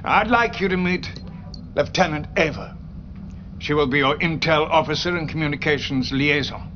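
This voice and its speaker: A man acts as a dignified British military chief, speaking formally in a slightly high voice. The game cutscene audio is dull, with faint noise. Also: normal speed, close to an everyday voice. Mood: grave, formal. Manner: formal, clipped military authority. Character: dignified British field marshal, formal and measured.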